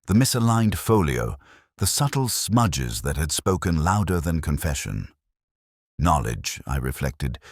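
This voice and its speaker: A man voicing a reflective, melancholy detective, narrating a scripted audio drama in measured, literary, contemplative phrases. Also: extremely low pitch, slightly rough, dry recording, clean studio sound, heavily acted.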